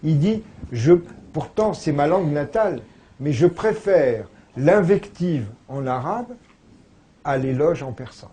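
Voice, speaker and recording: A man with a fairly high, mellow voice that has some huskiness to it. He explains things like a learned interview guest, wandering into asides and agreeing emphatically. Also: animated, unhurried, breathy edge. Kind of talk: conversational, erudite explanation.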